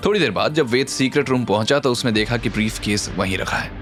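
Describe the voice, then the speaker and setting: A man's voice: mid-range voice, fast, a bit bright. A man narrating a scripted story in a dramatic audio series style. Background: background music.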